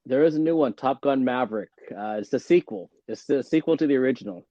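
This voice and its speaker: A man's voice: medium pitch, fast talker, mellow, no echo, dull audio. A man hosting a casual interview, giving brief prompts and short reactions. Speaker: podcast host, interviewer. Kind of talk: casual word-association interview.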